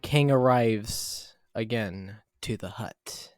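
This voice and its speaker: A man's voice: on the low side, slow pace, smooth, no room sound, clean studio sound, faint music behind. A man reading scripted scene narration for an amateur audio drama.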